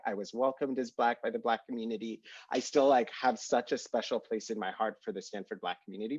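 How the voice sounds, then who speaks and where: Earnest young man, husky, sounds muffled and dry over video call. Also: medium pitch, no room sound. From Kenya. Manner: earnest, many "like" fillers. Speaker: alumni panelist. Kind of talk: candid reflective panel answer.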